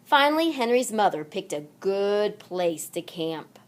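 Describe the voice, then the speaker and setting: A woman reads a children's story aloud at an unhurried pace. Her medium-pitched voice rises and falls in a lively way. The delivery is deliberate and expressive, suited to young listeners following along.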